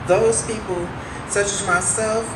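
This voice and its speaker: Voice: medium pitch, steady tone, a little slow, close to an everyday voice. Someone acting a solemn former prisoner, distant and echoey. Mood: pained, resolute. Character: former prisoner testifying about surviving solitary confinement, wounded, earnest. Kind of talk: dramatic reading of testimony. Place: live staged reading in a room. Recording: echoey room from far off, loud noise.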